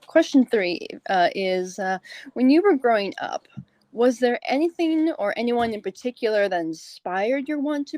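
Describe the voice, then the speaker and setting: Woman, medium pitch, podcast interviewer, casual, informal, encouraging, very dry sound. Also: mellow, no room sound.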